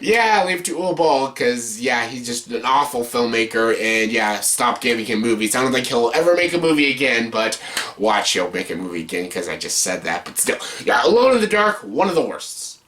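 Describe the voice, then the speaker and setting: A man with a sharp, bright, mid-pitched voice hosts an unscripted review countdown. He is blunt and exasperated, hitting words hard and repeating them for emphasis. His delivery is casual and opinionated, with some swearing. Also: lively intonation, moderate pace. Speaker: host of a movie countdown video.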